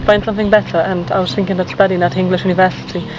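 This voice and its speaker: A woman's voice: on the low side, flat delivery, very fast, highs cut off, noisy background. A woman from Poland, a native Polish speaker, giving candid, reflective interview answers. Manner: candid, reflective, some restarts.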